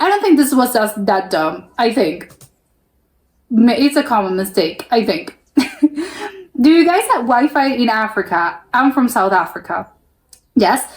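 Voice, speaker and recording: A woman's voice: fairly high pitch, reverberant. A woman reacting to clips in casual commentary, chatty with many fillers and restarts, laughing often. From United States. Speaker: reaction video host.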